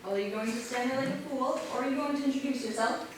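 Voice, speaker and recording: A woman's voice: sits high, fast, lightly acted. A woman playing an elegant, haughty society lady in a staged comedy reading, arch, formal and condescending. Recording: very echoey, distant mic, noisy background.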